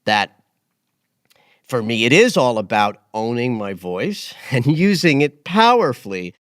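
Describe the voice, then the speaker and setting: A man in his late fifties hosting an astrology podcast, talking casually and expressively, rising to emphatic highs and dropping into quiet asides. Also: big pitch swings, unhurried, clean tone, dry recording, clean studio sound. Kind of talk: casual conversational chat.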